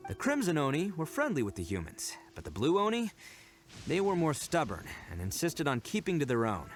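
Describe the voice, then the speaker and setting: Proud young male oni, video game character, emphatic, earnest, very lively intonation, slightly high pitch. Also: brisk, faint music behind. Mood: earnest storytelling. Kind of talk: scripted video game voice acting.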